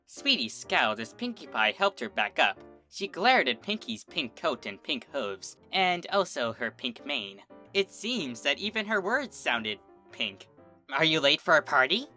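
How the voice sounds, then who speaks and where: A woman narrating a pony fan story aloud in an expressive, dramatic storybook manner. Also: low voice, unhurried, soft background music. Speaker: fanfiction reader.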